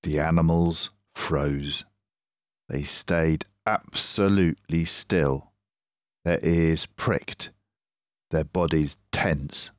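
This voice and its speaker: An older man narrating a children's story as an expressive storyteller. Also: rumbling low, extremely slow, no room sound, clean studio sound.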